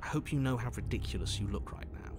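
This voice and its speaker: Loud music plays behind a stern, formal storyteller acted by a man in a horror game. He narrates in measured, articulate phrases, dryly insistent and easily exasperated. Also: medium pitch, lightly acted. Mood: exasperated, dismissive.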